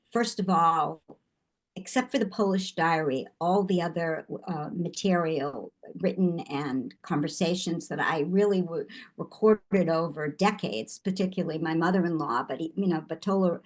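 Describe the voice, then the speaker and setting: A woman's voice: on the low side, normal speed, darkish tone. An older woman, a guest artist, giving thoughtful interview answers with frequent restarts.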